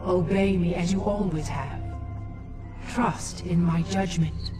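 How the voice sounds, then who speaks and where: A woman's voice: lowish voice, slow pace. A woman playing an ancient, dignified alien matriarch in a video game, formal and solemn, with an echoing effect. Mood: solemn, commanding. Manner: formal, stately. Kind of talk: dramatic video game acting. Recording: echoey, distant, loud music behind.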